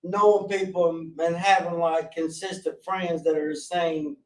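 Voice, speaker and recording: A forty-year-old man from North Carolina with a loud voice, telling his life story as a podcast guest in an earnest, rambling way with restarts. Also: on the high side, steady tone, normal speed, far from the mic. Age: 40s. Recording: roomy, off-mic sound.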